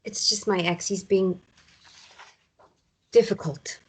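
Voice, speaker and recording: A woman acting a weary, evasive mother in a naturalistic drama table read, speaking haltingly and appeasingly. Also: mid-range voice, fairly even intonation, husky, rough recording. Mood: evasive, uneasy. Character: weary mother downplaying her ex's abuse, evasive.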